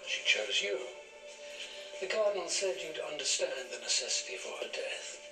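An actor playing a cold, measured, accusing messenger in a television period drama. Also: male voice, fairly high pitch, expressive, over loud music, low-quality recording. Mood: cold, menacing. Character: cold male messenger of a powerful cardinal.

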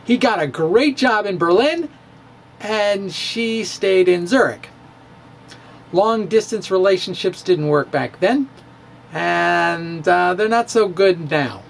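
A man's voice: high-pitched, animated, vocal fry, a little hiss or hum. A middle-aged man giving a playful, theatrical dramatic reading of his own physics blog into a studio mic in a room at home. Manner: theatrical, playful, with pauses and dips. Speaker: physics blogger. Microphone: studio mic in front of him.

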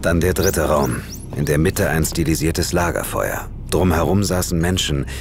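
An actor playing an investigator who narrates his eerie case in a measured, suspenseful horror audio drama style. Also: very deep voice, expressive, gravelly, heavily acted. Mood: composed suspense. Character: male investigator recounting his eerie case, tense and composed. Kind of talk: scripted first-person horror narration. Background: music.

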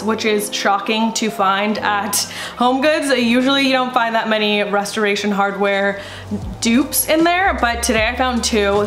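A woman's voice: mid-range voice, a bit bright. Chatty woman in a roomy living room. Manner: chatty, enthusiastic, frequent "like" fillers. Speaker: home decor vlogger. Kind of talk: casual shopping haul chat. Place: indoors, living room with sofa. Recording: roomy echo.

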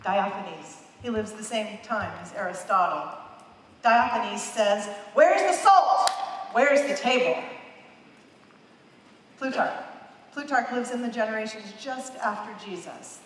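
A woman's voice: medium pitch, expressive, takes it slowly, pauses often, slight background noise. A woman in her fifties, a pastor preaching a sermon on a large church stage, emphatic and repeating phrases for emphasis. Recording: echoey hall, heard from a distance.

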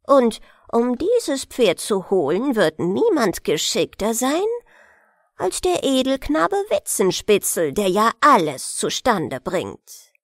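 A woman's voice: fairly high pitch, big pitch swings, slow pace, dry recording, clean studio sound, heavily acted. A woman voicing scheming royal servants who urge counsel on their king in a storybook fairy tale. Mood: urging.

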